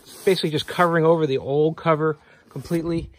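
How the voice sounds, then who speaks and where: A man hosting a casual how-to video, explaining step by step in a plain, relaxed way while working outdoors beside a vehicle, filmed on a handheld phone. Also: on the high side, fast talker. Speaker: channel host.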